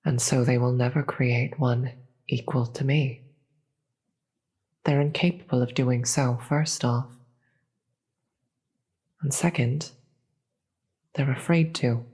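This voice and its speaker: A performer voicing a proud, imperious demon queen in a fantasy audio roleplay, deliberate and theatrical, with a breathy voice and contempt for the gods. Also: medium pitch, steady tone, darkish tone. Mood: proud, disdainful. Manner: deliberate, theatrical, grandiose speech with dramatic pauses.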